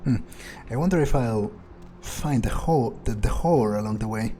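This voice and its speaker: A man's voice: mid-range voice, moderate pace, warm tone, faint music behind, natural voice. A man playing a cocky, crude vampire who relishes violence, with a hesitant, swearing drawl, in a roleplayed video game. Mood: self-satisfied.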